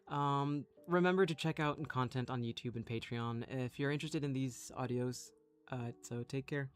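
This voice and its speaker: A man talks casually to his stream audience. His delivery is slow, quiet-mannered and hesitant, often trailing off. Music plays in the background. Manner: hesitant, low-key, trailing off. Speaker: streamer and roleplay script reader. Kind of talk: casual livestream sign-off.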